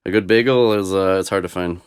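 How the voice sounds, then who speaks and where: A man's voice: low voice, fairly quick, a little hiss or hum. A young man whose first language is Russian, chatting casually as a podcast guest, rambling with lots of fillers and restarts. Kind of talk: casual conversation.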